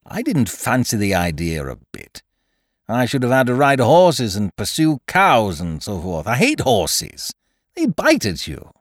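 A man reading aloud, voicing a hard-up young gentleman who talks in a gloomy, rueful, chatty way. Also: mid-range voice, wildly swooping pitch, a little slow, dry recording, studio quality.

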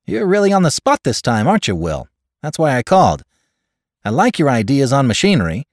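A man's voice: mid-range voice, wildly swooping pitch, fairly quick, no echo, clean studio sound, voice pushed up. A man voicing a coolly logical male friend who gives persuasive advice in a clipped, exact way, in an audiobook story. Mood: brisk, insistent.

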